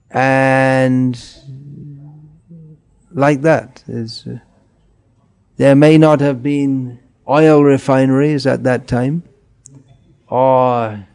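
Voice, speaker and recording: Man, middle-aged, spiritual teacher: slow, unhurried delivery, gentle and chuckling. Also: mid-range voice, gravelly. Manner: gentle, chuckling, questions the listeners. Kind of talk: informal spiritual talk.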